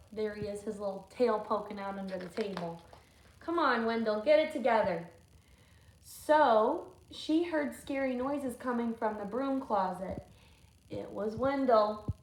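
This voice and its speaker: A woman's voice: on the high side, a little mellow. A woman teacher reading a storybook aloud to children, with thoughtful questions and asides. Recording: echoey room with faint noise.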